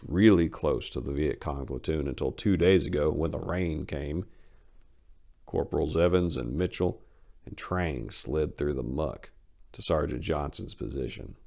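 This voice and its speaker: A man's voice: a bass voice, unhurried, gravelly, darkish tone. A man narrating war fiction as a dramatic story reading.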